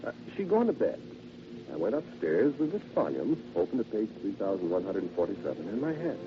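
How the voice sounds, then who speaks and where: A man's voice: lowish voice, very animated. Swooping, sinister genteel salesman, old radio. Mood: sinister, amused. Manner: polite, halting, insinuating, theatrical. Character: genteel, chatty, quietly sinister henpecked encyclopedia salesman. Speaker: radio drama actor. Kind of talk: vintage radio horror monologue, one-sided conversation. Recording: noisy, dull vintage radio recording.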